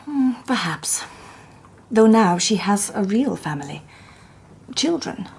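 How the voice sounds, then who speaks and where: Casting: a composed Victorian lady sleuth, husky, mellow, dry wit. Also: mid-range voice, very animated, slow pace, full character voice. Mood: calm. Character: shrewd, composed Victorian woman detective. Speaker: lady detective narrating and speaking. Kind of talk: radio drama narration and dialogue.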